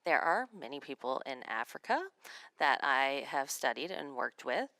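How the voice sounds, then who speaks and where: A woman working as a sign language interpreter, voicing a signed seminar talk in measured, phrase-by-phrase delivery. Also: extremely low pitch, big pitch swings, pushed and bright, no room sound.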